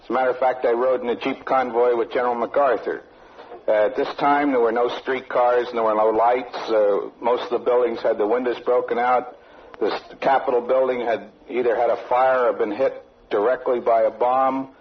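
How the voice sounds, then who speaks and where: A man's voice: mid-range voice, steady tone, normal speed. A man giving an unscripted eyewitness recollection in a hesitant, conversational way. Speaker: eyewitness recounting wartime memories. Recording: dull old radio recording, faint noise.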